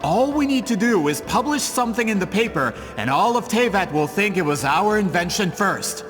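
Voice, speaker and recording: An actor playing a scheming, vain merchant in a video game, with a theatrical, pompous, indignant manner. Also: male voice, high-pitched, big pitch swings, normal speed, music in the background. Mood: pleading, scheming. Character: scheming, vain male merchant with grand business ambitions.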